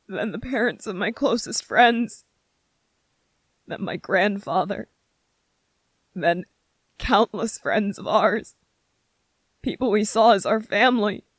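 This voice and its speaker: Someone acting a gentle young soldier guy grieving, asmr roleplay, real slow and halting, choked up, dry close sound. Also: mid-range voice, no echo. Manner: halting, emotional, earnest, short broken phrases. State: emotional, close to tears. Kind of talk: ASMR roleplay, intimate emotional monologue to listener.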